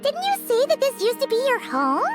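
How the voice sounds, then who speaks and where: Squeaky, chirpy little fairy companion. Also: female voice, huge pitch swings, brisk, exaggerated voice. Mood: curious. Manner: chirpy, refers to herself by name. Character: tiny excitable fairy companion girl. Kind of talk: video game voice acting. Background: game music.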